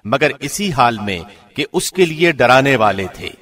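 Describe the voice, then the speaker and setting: Animated male scripture reader with long reverb. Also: medium pitch. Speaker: translation reader, radio presenter. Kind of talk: formal scripted scripture translation reading.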